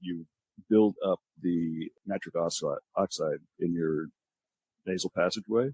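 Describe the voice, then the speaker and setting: A man co-hosting a nursing podcast, a registered nurse who talks in a casual, halting way and restarts his sentences as he explains. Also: deep voice, a little mellow, husky, rough recording.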